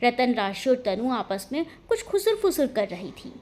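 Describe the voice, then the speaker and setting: A woman's voice: on the high side, big pitch swings, moderate pace, darkish tone. A woman narrating a scripted family romance story as a dramatic storyteller.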